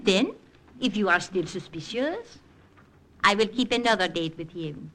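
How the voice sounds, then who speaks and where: An actress playing a poised, teasing French woman agent in an old radio drama, with a French accent and coy, measured delivery. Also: mid-range voice, lively intonation, bright, forceful tone. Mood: cool, insistent. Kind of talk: 1940s-50s radio drama acting.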